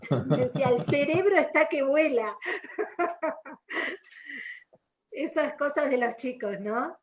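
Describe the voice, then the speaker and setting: A woman's voice: high-pitched, very animated, slow. A woman from Argentina with an Argentine accent co-hosting a radio magazine, speaking in an enthusiastic, flowing way. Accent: Argentine Spanish. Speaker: radio co-host.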